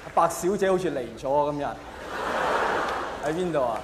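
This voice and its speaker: A man's voice: a little high, fairly quick, over a noisy crowd. A man with a Hong Kong Cantonese accent performing a theatrical, self-mocking stand-up monologue. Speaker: stand-up comedian. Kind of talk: stand-up comedy monologue to a live audience. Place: large live comedy venue. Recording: echoey hall, faint noise.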